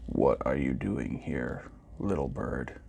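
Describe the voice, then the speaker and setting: A man voicing a gentle, sad old robot in a storybook, speaking slowly and softly. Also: a bass voice, big pitch swings, vocal fry, husky, pitched below the performer's own voice, full character voice. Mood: downcast. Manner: slow, gentle, downcast.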